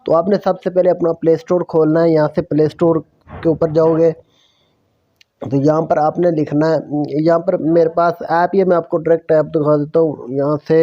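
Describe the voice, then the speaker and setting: A man's voice: a little high, flat delivery, moderate pace, lo-fi sound. A man narrating a casual app tutorial, explaining step by step in a repetitive way. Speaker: tutorial host.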